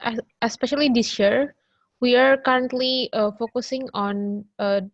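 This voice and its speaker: A woman explains her program on a video call, halting often and filling gaps with "uh". The audio is dry and a little muffled. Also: on the high side, fairly even intonation, no room sound. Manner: hesitant, lots of fillers. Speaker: program manager, participant.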